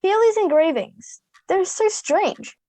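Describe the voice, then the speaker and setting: An Australian girl of about ten playing an excitable, impulsive modern schoolgirl in an amateur radio play. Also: very high-pitched, takes it slowly, exaggerated voice. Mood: fascinated. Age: 10 to 11, child. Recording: home video-call recording with music.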